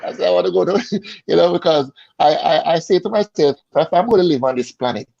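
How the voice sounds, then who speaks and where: A Jamaican man speaks as a guest in a casual interview. His voice is mellow and relaxed, and he stops and repeats words while finding his point. The audio is slightly muffled and lo-fi, as from an online call. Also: a little high, creaky. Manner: halting, repeats words as he searches for them. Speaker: interview guest, insurance advisor. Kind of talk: casual conversational interview answers.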